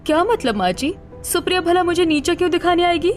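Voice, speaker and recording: A woman acting a harsh mother-in-law and her pleading daughter-in-law in an animated family drama, shrill and emphatic. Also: a little fast, soft background music. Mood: bitter, confused.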